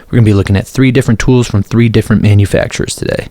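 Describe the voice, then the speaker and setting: A man's voice: lowish voice, fairly even intonation, rapid-fire, mellow, no echo. A man hosting a tutorial in a scripted narration style. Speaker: tutorial host.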